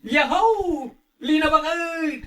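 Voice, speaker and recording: A man playing a stubborn, excitable young son in a radio drama, with a whining, eager way of arguing. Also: very high voice, slow pace, heavily acted. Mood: excited, impatient. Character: stubborn, excitable grown son dreaming of riches. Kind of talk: Ilocano radio drama acting.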